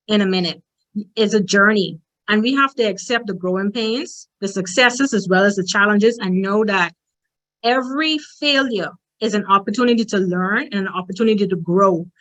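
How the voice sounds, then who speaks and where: A woman's voice: medium pitch, normal speed, fairly bright tone, low-quality recording. A middle-aged woman from the Bahamas with a strong Bahamian accent, giving an emphatic, direct motivational presentation as a conference speaker.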